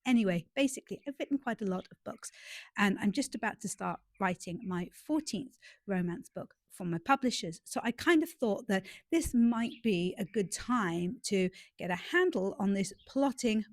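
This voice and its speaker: Woman vlogger, mid pitch, congested and a bit nasal from a cold, dry close sound, chatty and self-mocking. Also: animated, moderate pace, no echo. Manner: chatty, self-deprecating, friendly. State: stuffy nose from a cold. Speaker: romance author and YouTuber. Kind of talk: casual vlog to camera. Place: outdoors in a garden.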